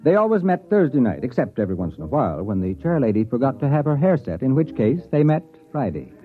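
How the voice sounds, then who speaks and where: A man's voice: mid-range voice, very animated, quick pace, natural voice. A man acting as a wry, worldly university administrator who narrates his own story in a mid-century radio drama style. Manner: wry, sardonic. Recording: thin phone-like vintage radio recording, faint music.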